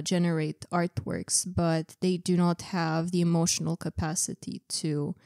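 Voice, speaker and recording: A woman's voice: on the low side, warm tone, dry recording, studio quality. A young woman co-hosting a podcast, chatting thoughtfully and saying "like" a lot. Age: young adult. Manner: chatty, reflective, uses "like" a lot. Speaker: podcast co-host. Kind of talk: casual chat.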